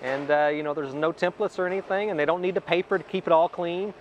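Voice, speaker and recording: A man's voice: on the high side, steady tone, quick pace, muffled recording, a little hiss or hum. A man giving casual, practical explanations in an interview as a company representative and workshop instructor. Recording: music under the voice.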